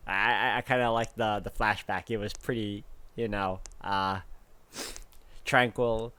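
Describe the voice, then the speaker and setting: A man's voice: lowish voice, steady tone, a little slow, sharp tone, smooth. A man playing a visual novel, reading its text aloud expressively with casual commentary. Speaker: video game let's player.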